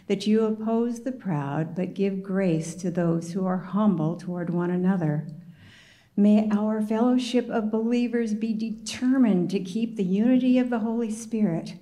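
A woman's voice: mid-range voice, dark tone. A woman leading a church congregation in an earnest, reverent prayer. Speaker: prayer leader.

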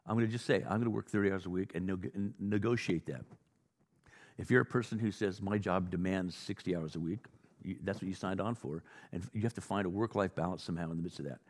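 A man's voice: low voice, fast. An American man preaching a conversational sermon, telling anecdotes and exhorting listeners in an informal way. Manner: informal, acts out dialogue, exhorting. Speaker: pastor preaching. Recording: reverberant hall.

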